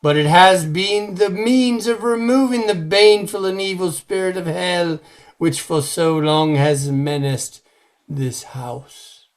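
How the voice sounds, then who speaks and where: A man's voice: high-pitched, takes it slowly. A man playing a solemn, pious holy man battling evil, grave and declamatory, in an old time radio horror play.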